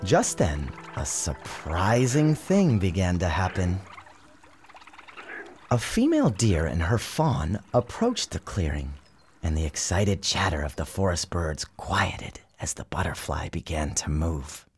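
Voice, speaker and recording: A man's voice: low voice, unhurried. A man giving a dramatic, theatrical scripted narration of a storybook, with music behind him. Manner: theatrical, expressive. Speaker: author narrating his storybook.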